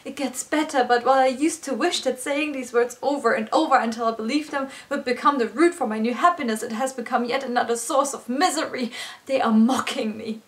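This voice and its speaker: A woman with a fairly high voice recites slam poetry. Her delivery is earnest and expressive, shaped as a dramatic spoken-word performance. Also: a little fast. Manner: expressive, earnest, swinging between hushed and intense delivery. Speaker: slam poet performing own poem.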